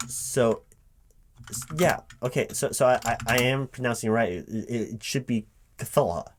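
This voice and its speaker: A man's voice: medium pitch, normal speed, a little hiss or hum, natural voice. A man playing a flustered but polite recruiting manager in a one-sided ASMR interview roleplay, hesitating, repeating words and asking puzzled questions. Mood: confused. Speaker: job interviewer. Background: computer keyboard typing.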